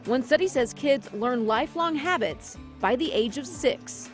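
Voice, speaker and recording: A woman's voice: sits high, lively intonation, unhurried. An American woman narrating a scripted health segment as a reporter. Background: background music.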